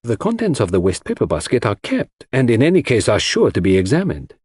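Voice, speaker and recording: A man voicing a clever, confident male detective in an audiobook, explaining his deductions in a deliberate, theatrical way. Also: mid-range voice, huge pitch swings, a little mellow, slightly rough, no echo, studio quality. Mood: dramatic, suspenseful. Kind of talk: audiobook character dialogue.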